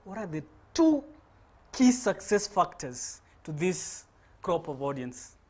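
A man's voice: high-pitched, big pitch swings, slow pace, a little mellow. A man hosting and moderating a webinar panel in a studio, speaking in a formal, announcer-like way. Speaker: host, moderator. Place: studio set.

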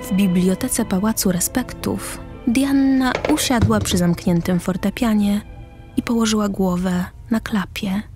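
Female narrator, medium pitch, scripted stage directions, radio drama, music behind. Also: unhurried, darkish tone.

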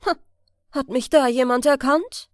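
Frau spielt einen aufbrausenden, prahlerischen Meisterdieb. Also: female voice, high-pitched, measured pace, no echo, studio quality, voice pushed up. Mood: boastful, scornful. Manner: scornful, emphatic, boastful. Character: hot-tempered, boastful male master thief.

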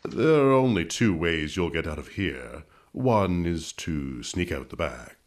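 An actor playing a gloomy, droll undertaker in 1950s radio comedy, solemn and deadpan. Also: male voice, sits low, measured pace. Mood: morbidly droll. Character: gloomy, droll male undertaker full of morbid puns.

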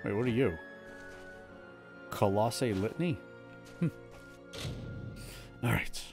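A man in his thirties gives loose, self-mocking commentary while playing a fantasy game on stream. His voice sits low and relaxed, close to a desk mic in a home room. Faint game music plays behind him. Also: slow pace. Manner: chatty, self-mocking, restarts often. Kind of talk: casual live gameplay commentary.

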